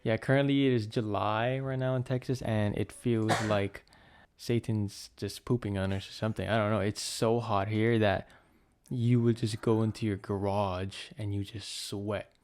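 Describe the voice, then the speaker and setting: A man's voice: fairly low pitch, steady tone, normal speed, darkish tone, slight background noise. A man of twenty from Texas, co-hosting a podcast in a joking, chatty way. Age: 20s. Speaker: podcast co-host. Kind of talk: casual chat. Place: home in Texas.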